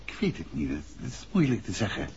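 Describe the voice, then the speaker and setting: A composed gentleman detective in an old radio serial. He muses and questions in a low voice with lively inflection. The recording is dull, with faint noise behind it. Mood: pensive. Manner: measured, musing, inquisitive. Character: composed, thoughtful gentleman amateur detective, middle-aged husband. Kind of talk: 1960s radio drama acting.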